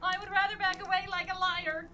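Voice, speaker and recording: Cowardly crewman, played by a woman, extremely high fretful voice, comic radio play with music. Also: flat delivery, a little fast, bright, forceful tone, heavily acted. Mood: fearful. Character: nervous, cowardly male sailor of the crew. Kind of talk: comic audio drama acting. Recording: music behind voice.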